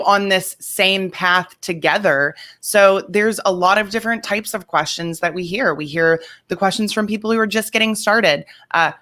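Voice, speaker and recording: A woman's voice: on the low side, sharp tone. A woman business coach hosting a conversational interview. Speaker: host interviewing a client.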